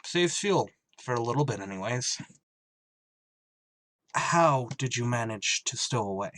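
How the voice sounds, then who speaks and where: An actor playing a gruff, tormented emergency ship pilot in a radio drama, terse and weary under strain. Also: male voice, mid-range voice, expressive, measured pace, a bit bright, a little hiss or hum. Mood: grim, reluctant. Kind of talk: radio drama acting with narrated inner monologue.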